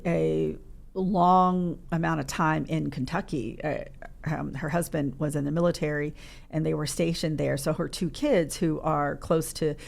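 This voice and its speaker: A woman's voice: deep voice, gravelly, a little mellow. A middle-aged woman, a podcast guest, talking in a reflective way and searching for her words in a studio. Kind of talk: casual interview chat.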